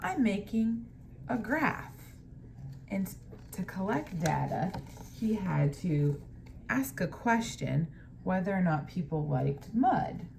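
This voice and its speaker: A woman teacher doing a picture-book read-aloud, pausing to explain things to young pupils. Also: lowish voice, lively intonation, gravelly, a little mellow, slight background noise.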